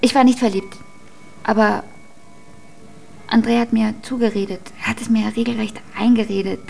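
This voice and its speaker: A woman's voice: on the high side, steady tone, moderate pace, creaky, darkish tone, soft background music. An actress in a German radio drama playing a frank, melancholy young woman who confides hesitantly. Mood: confiding, weary.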